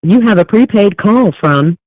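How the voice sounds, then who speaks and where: Automated female phone announcer, mid-pitched, scripted, heard dry over a dull telephone line. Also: animated, moderate pace, a little mellow, no echo.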